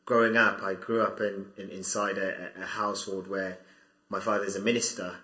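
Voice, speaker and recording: Young guy from zimbabwe, lowish voice, bright and a bit tense, stumbles and restarts a lot, echoey room. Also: little pitch movement, fairly quick. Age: 20s. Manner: thoughtful, hesitant with many restarts. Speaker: guest. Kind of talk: interview, telling his life story.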